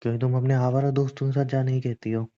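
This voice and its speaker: A man's voice: medium pitch, flat delivery, fast, mellow, natural voice. A man playing a stubborn, teasing young boyfriend in a romantic phone-call roleplay, argumentative and coaxing in casual conversation. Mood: defensive. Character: stubborn, teasing young boyfriend defending his boys' trip. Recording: dull lo-fi phone call recording.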